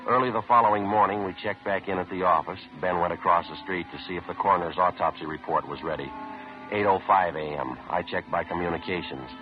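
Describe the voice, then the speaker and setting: A man playing a stoic, methodical police detective, narrating in a clipped, deadpan 1950s radio drama style. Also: low voice, quick pace, soft background music, lightly acted. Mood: matter-of-fact. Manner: deadpan, matter-of-fact. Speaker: narrator and lead actor. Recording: muffled vintage radio recording.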